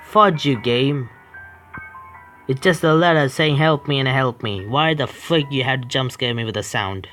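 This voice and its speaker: A man streaming a horror visual novel, reading its text aloud haltingly, stumbling over words and breaking off to complain at the game. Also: medium pitch, pushed and bright, soft background music. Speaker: gaming streamer.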